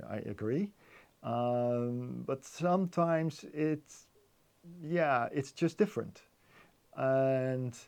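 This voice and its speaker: A man giving a technical explanation as an interview guest, haltingly, often repeating words as he thinks. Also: medium pitch, vocal fry, mellow. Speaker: interview guest, loudspeaker designer.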